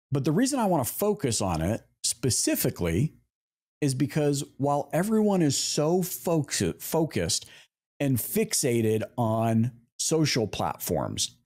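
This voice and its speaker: A man hosting a podcast, talking casually to his audience about marketing in an emphatic way with asides and pauses for effect. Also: medium pitch, darkish tone. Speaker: podcast host. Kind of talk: casual marketing talk to viewers.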